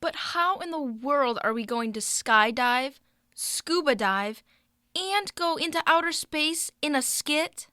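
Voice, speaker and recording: Woman acting upbeat young club leader girl, high bright voice, children's story, dry close recording. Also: expressive, slow, no room sound, full character voice. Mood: take-charge. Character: Riley, upbeat take-charge girl leading a club. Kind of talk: scripted children's audio story.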